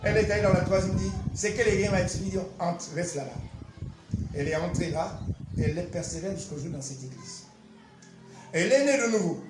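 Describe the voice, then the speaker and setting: A man, a pastor giving an animated, emphatic testimony and teaching with repetitive storytelling. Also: high-pitched, a little fast. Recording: roomy echo, faint music behind.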